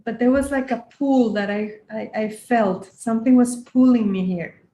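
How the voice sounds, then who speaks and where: A woman's voice: a little high, moderate pace, roomy sound. A woman from Costa Rica, a yoga teacher, speaking earnestly and reflectively as an interview guest. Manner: soft-spoken, earnest, frequent restarts.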